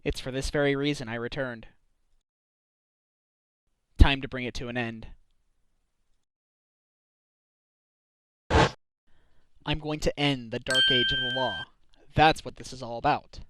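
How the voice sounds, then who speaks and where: Man acting an earnest, expressive defense lawyer. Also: mid-range voice, moderate pace, long pauses, smooth, no echo, low-quality recording. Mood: determined. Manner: earnest, conversational. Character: earnest, weary but determined male defense lawyer. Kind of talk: scripted anime-game fan dub acting. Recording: prominent music behind the voice.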